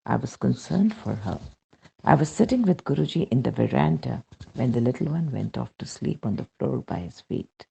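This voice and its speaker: A man with an Indian accent and a husky, gravelly voice reads a first-person devotional account aloud as scripted narration, in a dull lo-fi recording. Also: mid-range voice, mellow. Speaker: reader of a devotional testimonial.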